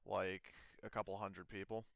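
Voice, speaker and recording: A man's voice: low voice, little pitch movement, moderate pace, gravelly, background noise. A man chatting casually as game master of a tabletop roleplaying session.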